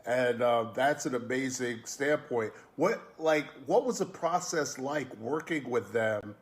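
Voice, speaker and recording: A man's voice: mid-range voice, animated, unhurried. Enthusiastic man hosting an interview. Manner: enthusiastic, effusive praise. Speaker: interviewer host. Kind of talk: online interview.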